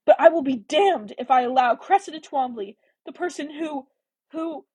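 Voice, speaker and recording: A woman voicing a proud, defiant young woman in a Regency romance audiobook, speaking forcefully and with emotion. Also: high voice, expressive, moderate pace, breathy edge, voice pushed up. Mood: furious, emotional.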